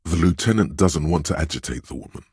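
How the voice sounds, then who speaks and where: A man voicing a suave, insinuating inner voice of persuasion in a video game, narrating in a measured, knowing, gently coaxing way. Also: lively intonation, no room sound, studio quality, exaggerated voice. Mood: knowing, confiding. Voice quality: very deep, husky. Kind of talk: scripted video game narration in second person.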